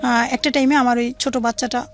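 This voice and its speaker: High-voiced woman telling her life story. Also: level tone, brisk. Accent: Bangladeshi. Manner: recounts in long run-on detail, quoting dialogue. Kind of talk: personal storytelling in conversation.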